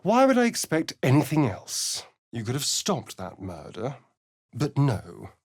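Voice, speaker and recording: Theatrical, preening vampire with swooping pitch. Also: male voice, wildly swooping pitch, unhurried, husky, heavily acted. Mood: scornful, cold. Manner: arch, flirtatious, swooping from purrs to exclamations. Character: preening, sardonic male vampire spawn companion. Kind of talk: theatrical fantasy video game dialogue.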